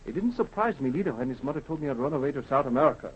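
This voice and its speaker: An actor playing a kindly, nosy small-town grocer in a 1930s radio drama, talkative, folksy and hesitant. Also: male voice, medium pitch, expressive, racing, slight background noise, natural voice. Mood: gossipy, sympathetic. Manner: hesitant, folksy, repeats himself. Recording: dull, muffled 1930s radio recording.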